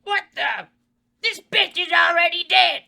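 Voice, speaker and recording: An actor reading a foul-mouthed, jumpy killer clown in a comedy horror table read. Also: male voice, extremely high pitch, unhurried, creaky, pushed and bright, exaggerated voice. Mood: startled.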